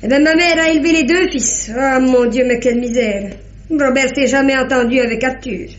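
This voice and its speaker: A woman's voice: sits high, a little fast, close to an everyday voice. An actress playing a chatty, hospitable countrywoman with rustic, folksy speech in an old-fashioned French radio drama. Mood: gossipy, sorrowful. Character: chatty, hospitable peasant woman of the Normandy countryside. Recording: dull, roomy old radio recording.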